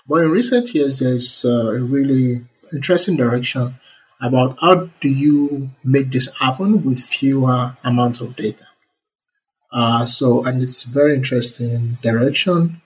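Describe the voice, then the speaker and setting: A man's voice: mid-range voice, slight background noise, poor audio. Enthusiastic man. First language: Yoruba. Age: young adult. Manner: earnest and enthusiastic, many fillers. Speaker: interview guest, computer scientist. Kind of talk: relaxed conversational interview answers.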